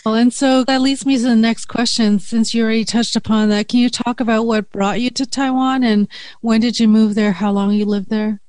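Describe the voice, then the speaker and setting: A woman's voice: fairly high pitch, flat delivery, brisk, darkish tone, no room sound. A woman hosting a podcast interview, asking questions with encouraging prompts. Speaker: podcast host.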